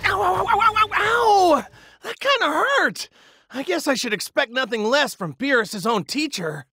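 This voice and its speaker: An actor playing an earnest, plucky young male hero in an anime video game, forceful and heroic. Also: extremely high pitch, lively intonation, moderate pace, vocal fry, soft background music, heavily acted. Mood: pained.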